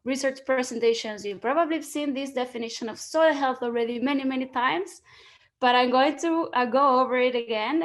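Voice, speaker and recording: A woman with a Spanish accent gives a science talk over a video call. Her voice sits a little high. She explains patiently and often pauses on fillers and restarts her phrases. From Spain. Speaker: guest lecturer, soil ecologist. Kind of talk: online presentation. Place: home or office, video call.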